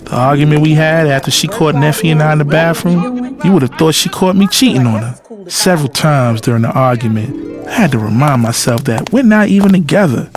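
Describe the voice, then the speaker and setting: A man's voice: a little high, a little fast, music in the background, close to an everyday voice. A man playing a cocky, lovestruck adult son, telling his own story candidly and intimately in an audio drama. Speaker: narrator and lead character. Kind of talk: first-person audio drama narration and dialogue.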